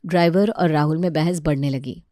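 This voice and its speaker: A woman's voice: sits low, steady tone, moderate pace, warm tone, no echo, clean studio sound. A woman reading scripted narration of a comic family story as its narrator.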